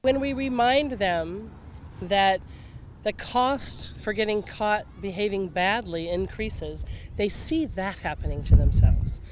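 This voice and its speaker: Woman in an interview, mid pitch, noticeable street noise. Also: takes it slowly. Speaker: safety campaign interviewee. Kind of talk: unscripted interview soundbite. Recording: clear street noise behind.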